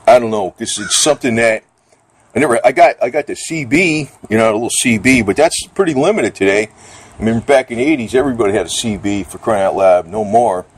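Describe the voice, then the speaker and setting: A man's voice: mid-range voice, breathy edge. A middle-aged or older man giving a casual, off-the-cuff gear demonstration in a rambling, plainspoken, colloquial and mildly grumbling way. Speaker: vlogger demonstrating radio gear.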